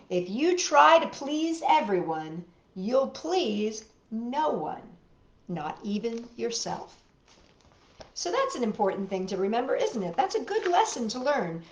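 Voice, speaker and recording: A woman hosting a children's storytime, telling and explaining things simply and expressively to young listeners. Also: medium pitch. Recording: roomy echo, slightly dull sound.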